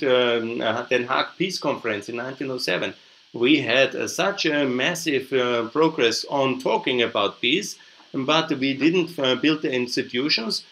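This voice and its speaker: A man's voice: mid-range voice, a bit bright, low-quality recording. Emphatic man with an Austrian accent. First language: German. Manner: emphatic, opinionated, repeats points, tags with "yeah?". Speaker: podcast host, political commentator. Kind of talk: unscripted political commentary over slides.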